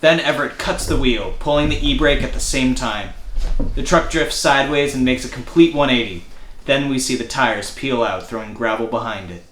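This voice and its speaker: A man with a mid-pitched voice reads stage directions as narrator at a table read. The room is echoey, with faint noise. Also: normal speed, fairly bright tone.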